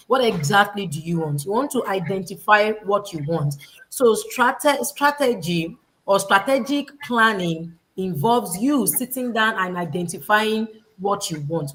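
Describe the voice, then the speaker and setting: A woman with a Nigerian accent hosts a motivational livestream. She sounds preachy and hammers her key phrases home by repeating them, often leaning on scripture. Her pitch sits in the middle range. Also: a bit bright, a little hiss or hum, low-quality recording. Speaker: host. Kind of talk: livestream panel talk, motivational.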